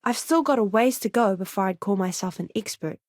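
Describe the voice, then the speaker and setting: A woman's voice: medium pitch, brisk, a little mellow, dry recording, natural voice. Woman acting as young female crew medic, video game companion, earnest, eager, friendly, close-miked, very dry sound. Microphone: close studio mic, dry.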